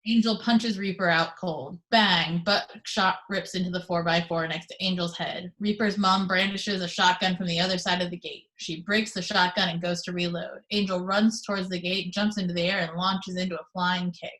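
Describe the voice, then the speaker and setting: A woman reading the action descriptions aloud in steady, measured narration for an amateur table read over a video call. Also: lowish voice. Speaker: reader of stage directions.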